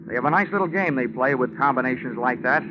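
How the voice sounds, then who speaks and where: An actor playing a hard-boiled American insurance investigator in an old-time radio drama, wry, slangy and terse. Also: male voice, medium pitch, quick pace, very noisy, lightly acted. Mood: sardonic. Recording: muffled vintage radio recording.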